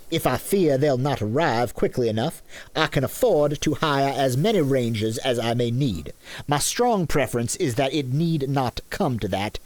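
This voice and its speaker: An actor playing a smooth-talking, entitled male elf landowner in a fantasy audio drama, pompous and formally polite. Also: medium pitch, a little mellow, no room sound, close to an everyday voice.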